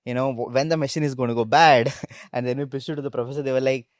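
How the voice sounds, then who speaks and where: A man's voice: mid-range voice, steady tone, fast talker, dry recording, clean studio sound. A young man with an Indian English accent telling stories casually and informally as an interview guest. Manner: informal, peppered with "like" and "literally". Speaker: startup founder, interview guest.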